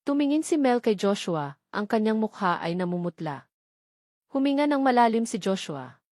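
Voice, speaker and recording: A Filipino woman narrates a romantic drama in the manner of an audiobook, reading scripted prose. Her pitch sits in the middle for a woman, and the recording is dry and close, with very little room sound. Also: big pitch swings, normal speed, a little mellow, no room sound.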